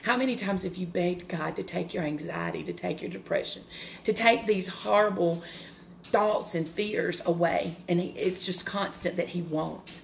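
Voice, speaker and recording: A woman in her forties with a loud, carrying voice, giving a personal devotional talk, earnest and open, with pauses and restarts. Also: lowish voice, vocal fry, slight background noise. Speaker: devotional speaker.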